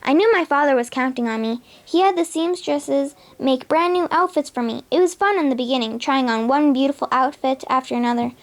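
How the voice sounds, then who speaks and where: Storybook princess, young, spirited and romantic, high earnest voice played by a young female reader. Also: brisk, hardly any pauses. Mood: recounting.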